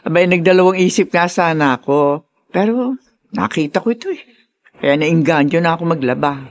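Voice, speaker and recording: A woman's voice: fairly low pitch, lively intonation, normal speed, dry recording. A Filipino woman acting a caring, chatty older grandmother in a radio drama, homely and fussing. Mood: fussing, kindly.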